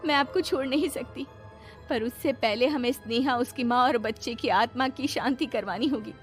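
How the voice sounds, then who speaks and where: A woman's voice: on the high side, moderate pace, close to an everyday voice. A woman playing an anxious, devoted young wife in a melodramatic horror story. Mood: resolute. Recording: music behind the voice.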